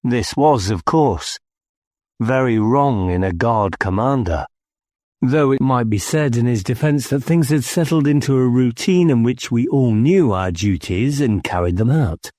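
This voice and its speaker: A man's voice: mid-range voice, expressive, measured pace, a little mellow, dry recording, studio quality. A man reading scripted first-person story narration as an audiobook narrator.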